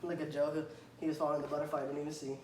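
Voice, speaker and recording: Man, fairly high voice, reading a picture book aloud, pauses for questions, echoey room. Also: completely flat delivery. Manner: clears throat often. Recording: echoey room, faint background noise.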